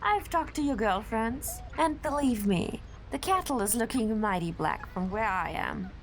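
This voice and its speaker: Radio drama role: obsessive, defensive young woman played by an actress, dramatic highish voice, faint noise behind. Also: expressive, measured pace, rough recording. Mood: scornful. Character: volatile, obsessive young woman in a failing romance.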